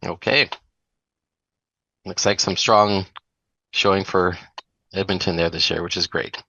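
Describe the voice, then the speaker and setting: A man's voice: deep voice, fairly even intonation, a little slow, gravelly, sharp tone, muffled recording, a little hiss or hum. A man moderating a medical webinar, introducing speakers and running polls with light joking asides.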